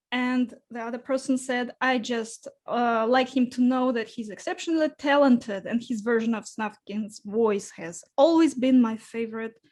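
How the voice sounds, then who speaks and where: A woman from Russia hosts an informal fan interview. Her voice sits on the high side, and she talks in a casual, conversational way. There is a little music in the recording. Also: a little mellow. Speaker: interviewer and host.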